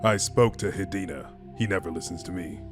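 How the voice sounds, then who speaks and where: A man's voice: low voice, a little fast, over music, natural voice. A man voicing a seasoned, frank space admiral with a blunt, wry military manner, as in a sci-fi game. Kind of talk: sci-fi game character demo.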